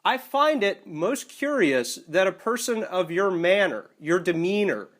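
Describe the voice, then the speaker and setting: High-pitched, formal, insistent man on phone. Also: big pitch swings, measured pace, fairly bright tone. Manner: formal, wordy phrasing, insistent. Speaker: caller in a phone dispute. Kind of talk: argumentative phone conversation. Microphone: telephone. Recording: secretly recorded phone call.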